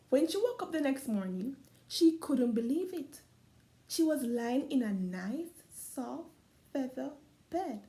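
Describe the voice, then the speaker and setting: Lively Caribbean woman reading a folktale. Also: high voice, roomy sound. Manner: lively expressive reading with laughs and asides. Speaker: storyteller.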